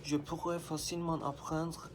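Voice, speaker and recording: A man's voice: a little high, little pitch movement, normal speed, a little hiss or hum. A young man, a native Turkish speaker, steadily reciting a prepared answer as a language student. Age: young adult. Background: soft background music.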